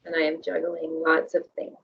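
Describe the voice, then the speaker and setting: A woman whose voice is going hoarse, talking candidly and a bit hesitantly as a parent, then repeating tapping phrases after a leader. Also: low-pitched, monotone, normal speed, recorded from a distance, phone-quality sound, a little hiss or hum. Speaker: parent sharing her experience, tapping echo.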